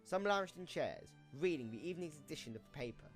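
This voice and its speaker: A man's voice: fairly high pitch, expressive, fast talker, faint music behind. A man narrating a scripted story for an amateur radio play, reading in a storybook style.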